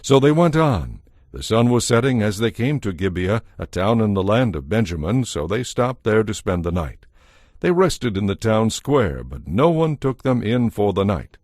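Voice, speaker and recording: Low, expressive male narrator. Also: normal speed, no room sound, clean studio sound. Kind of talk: scripted dramatic Bible narration.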